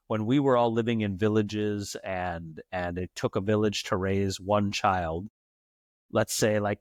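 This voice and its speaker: Emphatic low-voiced American man. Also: dry recording. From United States. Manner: emphatic, philosophical, builds points in long runs. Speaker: podcast guest. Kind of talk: reflective conversational storytelling.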